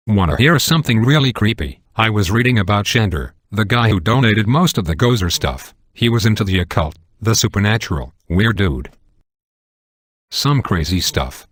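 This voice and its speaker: A man's voice: fairly low pitch, husky, no echo, studio quality. A man playing a chatty museum guard in a video game, talking in a laid-back, colloquial way.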